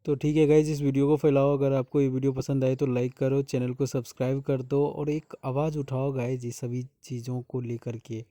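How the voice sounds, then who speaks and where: A man's voice: mid-range voice, flat delivery, normal speed, hardly any pauses, dark tone, no echo, clean studio sound. An Indian man explaining informally to his viewers, speaking earnestly and repeating his points as a vlogger. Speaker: vlogger exposing a scam.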